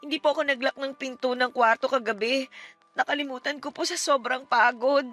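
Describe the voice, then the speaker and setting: A woman's voice: high-pitched, steady tone, fairly quick, a bit bright. A woman playing a wronged, anguished young daughter who pleads with her mother in an emotional radio drama. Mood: subdued, pleading. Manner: pleading, emotional, respectful turning defiant. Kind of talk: Tagalog radio drama acting. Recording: dramatic music under the dialogue.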